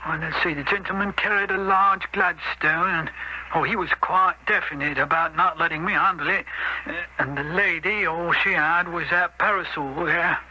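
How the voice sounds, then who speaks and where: Flustered railway guard, radio mystery. Notably high, piercing voice, deferential and hesitant, old noisy broadcast. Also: male voice, fairly even intonation, normal speed, bright, forceful tone, dull audio. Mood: helpful. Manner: hesitant, apologetic, chatty. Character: deferential, eager, slightly flustered British train guard. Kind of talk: 1970s radio drama acting.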